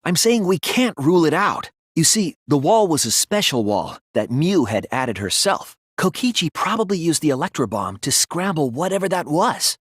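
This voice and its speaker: A man voicing an earnest, analytical teenage boy detective in a video game, reasoning deliberately through scripted dialogue. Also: fairly high pitch, sing-song, husky, no echo, studio quality. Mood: serious, explaining.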